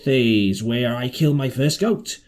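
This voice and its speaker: A man's voice: medium pitch, takes it slowly, a little mellow, close to an everyday voice. A man voicing a shrewd, half-crazed marooned seaman in an audiobook, with rustic, ungrammatical, repetitive sailor talk. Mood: eager, rambling. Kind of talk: audiobook character voice.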